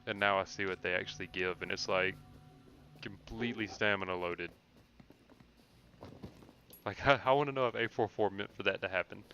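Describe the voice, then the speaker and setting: A man's voice: deep voice, normal speed, long pauses, sharp tone, highs cut off, faint music behind. A man giving casual gameplay commentary as a streamer, thinking aloud in an informal, slangy way. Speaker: game streamer.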